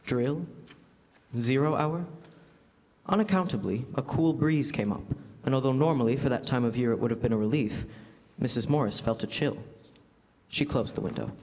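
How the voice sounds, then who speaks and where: A man's voice: mid-range voice, darkish tone. Young male suspense narrator in a hall. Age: young adult. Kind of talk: scripted narration, classic radio drama. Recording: echoey stage hall.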